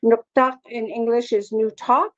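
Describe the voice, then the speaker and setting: A woman presents on a conference panel, speaking earnestly but haltingly, with frequent fillers and restarts. Her voice sits on the higher side. The recording is dull and lo-fi. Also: steady tone. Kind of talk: conference talk.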